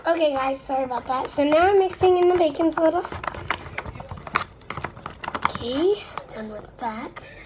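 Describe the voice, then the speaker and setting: A woman's voice: high-pitched, unhurried, pauses often. A young girl with a stuffed-up nose, chatting casually and a bit apologetically to viewers as she crafts at home, rambling as she goes. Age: child. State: stuffed-up and under the weather. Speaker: slime video maker. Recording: noisy amateur recording with clicks.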